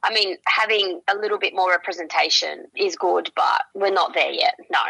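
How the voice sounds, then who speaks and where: Low, gravelly woman. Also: normal speed, pushed and bright, no echo, low-quality recording. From Australia. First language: Polish. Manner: conversational, many fillers like "you know" and "kind of". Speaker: journalist and author, interview guest. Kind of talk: radio interview answers.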